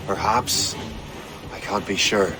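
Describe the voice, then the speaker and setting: A brooding young assassin in a game cutscene, sardonic and plain-spoken. His voice sits low, with music clearly audible behind it. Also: slow, poor audio. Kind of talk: dramatic video game cutscene acting.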